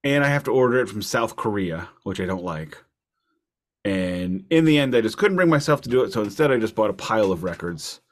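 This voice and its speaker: A man hosting a podcast, chatting casually about music in a wry way, leaning deliberately on key words. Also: fairly low pitch, animated. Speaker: podcast host.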